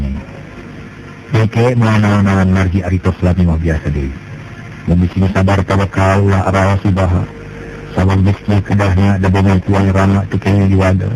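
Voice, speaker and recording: A man voicing a gentle comforting young husband. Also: low-pitched, natural voice, poor audio. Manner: soothing, earnest persuasion. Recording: music playing behind.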